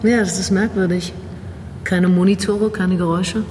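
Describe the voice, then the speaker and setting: An actress playing a polite, puzzled TV interviewer in a radio drama, hesitant and questioning, heard in a large reverberant room. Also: female voice, on the low side, steady tone, normal speed, mellow, lightly acted. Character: polite, puzzled female TV interviewer managing a shoot. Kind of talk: naturalistic German radio drama acting. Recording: echoey hall with clear background noise.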